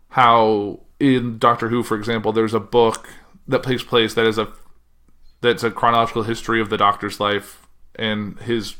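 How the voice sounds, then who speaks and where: A man's voice: lowish voice, a bit bright. A man hosting a solo podcast in a casual monologue, thinking aloud and often trailing off mid-sentence. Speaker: podcast host.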